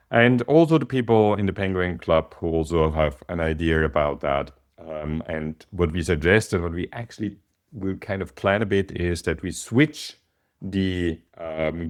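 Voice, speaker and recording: An Austrian man with a German accent speaks in a very low voice. He coaches an online group in a relaxed, unhurried way, stopping often with ums and pauses as he gathers his thoughts. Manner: hesitant, many pauses and ums. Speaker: business coach. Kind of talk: casual talk to an online group.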